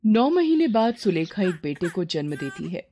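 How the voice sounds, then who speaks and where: A woman's voice: fairly low pitch, big pitch swings, normal speed, dark tone, slight background noise. A woman reading a scripted moral story as its narrator.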